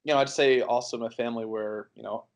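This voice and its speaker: A man in his early twenties from the United States, answering interview questions in a relaxed, rambling way with many fillers and restarts. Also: mid-range voice, fast. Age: 20s. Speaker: interview guest.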